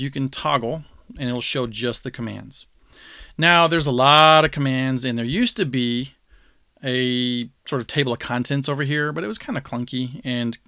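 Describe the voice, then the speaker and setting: A man casually walking viewers through a software tool on his screen, thinking aloud with fillers and small chuckles. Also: mid-range voice, no room sound, clean studio sound. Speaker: screencast tutorial host.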